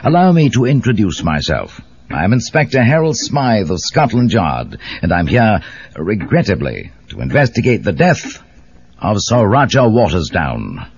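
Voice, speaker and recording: Deep-voiced, swooping British police inspector. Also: male voice, very animated, husky, dry recording, full character voice. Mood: grave, formal. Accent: British English. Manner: formal, measured, grave, precise. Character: solemn, formal Scotland Yard police inspector, adult man, 1930s. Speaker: actor playing an inspector. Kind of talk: scripted in-character briefing for a murder mystery game. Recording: dull, muffled cassette tape transfer.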